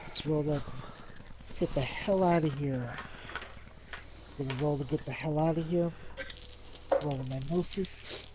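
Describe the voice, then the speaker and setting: Slow-talking man over clear background noise. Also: a little high. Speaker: player in a tabletop game. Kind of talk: casual chat. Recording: noisy background with clicks.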